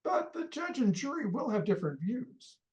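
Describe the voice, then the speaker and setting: A woman's voice: fairly high pitch, sing-song, normal speed, a little mellow. A woman acting a blunt, shrewd and commanding rich employer in a radio play. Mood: stern, warning.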